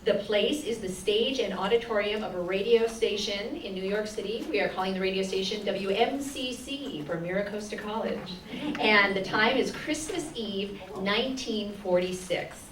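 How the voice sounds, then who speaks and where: A woman's voice: mid-range voice, moderate pace. A woman who leads a class, giving an upbeat, informal welcome speech to a live audience in an auditorium. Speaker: class leader introducing a live show. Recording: echoey hall from far off, faint noise.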